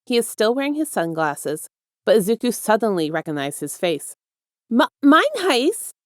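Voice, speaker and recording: Low-voiced woman narrating a story. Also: very animated, brisk, darkish tone, dry recording, studio quality. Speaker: podfic narrator.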